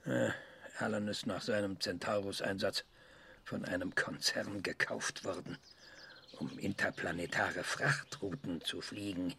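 A man playing a self-assured research scientist in a radio drama, lecturing emphatically with dramatic pauses. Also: sits low, fairly even intonation, gravelly, bright, forceful tone, slight background noise, exaggerated voice. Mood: grave, insistent. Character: excitable, self-assured male research scientist giving an interview.

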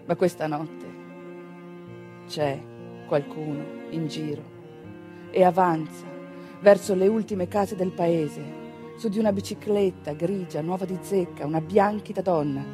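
A woman narrating a theatrical monologue in an expressive, deliberately phrased way. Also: on the low side. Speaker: stage actress and narrator.

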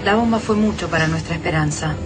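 A woman's voice: on the low side, fast, lightly acted. An actress playing an anxious, weary mother in a radio drama, with an Argentine Rioplatense accent. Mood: shaken, relieved. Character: adult woman Elena, anxious, weary mother. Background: music.

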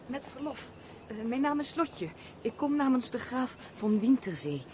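An actress playing a pert, haughty castle servant in a radio play, chatty and sharp-tongued in a theatrical way. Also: female voice, high voice, big pitch swings, moderate pace, a little hiss or hum. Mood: polite, insistent. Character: Lotje, pert, haughty female castle servant, easily offended. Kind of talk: Dutch radio play acting.

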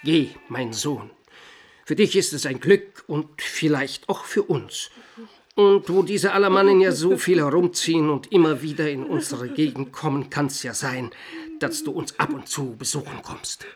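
Husky peasant father, resigned. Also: a little high, unhurried. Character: peasant father, resigned and practical, sending son away. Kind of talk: radio drama acting.

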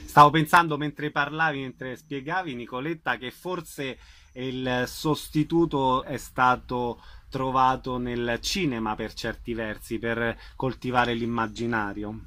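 A man's voice: mid-range voice, fairly even intonation, unhurried, sharp tone, dry recording. A man asking questions as an interviewer in a conversational style.

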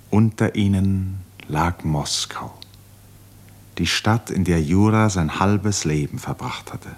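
Male narrator, low voice, unhurried, contemplative literary storytelling for radio drama. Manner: contemplative, measured, phrases falling to a hush.

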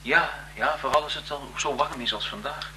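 Casting: a nervous, courteous photographer, mid-pitched and very bright, hesitant soft delivery, 1970s radio play with slight hiss. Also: male voice, moderate pace. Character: shy, deferential photographer, nervous and smitten. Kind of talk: 1970s Dutch radio drama acting.

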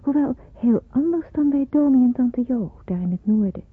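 On a thin, muffled old radio recording, a young woman in wartime hiding. Her voice is fairly high and breathy, earnest and slightly hesitant. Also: expressive, moderate pace, no echo. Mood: reflective. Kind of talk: Dutch radio play acting with diary-like narration.

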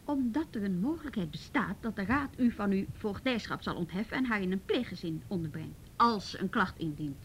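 A woman's voice: mid-range voice, expressive, brisk, hardly any pauses, slight background noise, close to an everyday voice. An actress playing a frank, firm woman mediating in a radio drama, reasoning directly. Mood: firm, negotiating. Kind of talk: Dutch radio drama acting.

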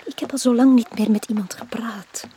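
An actress playing a lonely, quietly insistent young woman with a Flemish accent, speaking softly and hesitantly in a radio drama. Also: on the high side, steady tone, brisk, slightly rough. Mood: wistful, pleading. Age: young adult. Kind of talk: 1980s Dutch-language radio drama acting.

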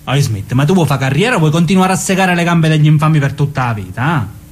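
A man's voice: fairly high pitch, expressive, quick pace, husky. A man playing a cocky, crude young gangster in a radio drama, with a southern Italian accent and slangy, swaggering talk. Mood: cocky, teasing. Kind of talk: naturalistic radio drama dialogue.

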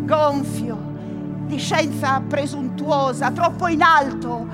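Solemn stage chorus, high voice, emphatic declaiming, music underneath. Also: female voice. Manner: slow, emphatic, declamatory phrasing. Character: solemn female chorus figure warning of a scholar's damnation. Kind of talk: theatrical verse narration, radio drama.

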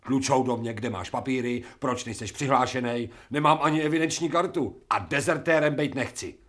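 A man's voice: mid-range voice, a bit bright, close to an everyday voice. A man playing a cheeky dog-turned-man. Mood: insolent. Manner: coarse, cheeky, slangy. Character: crude insolent man made from a dog. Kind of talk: radio drama acting.

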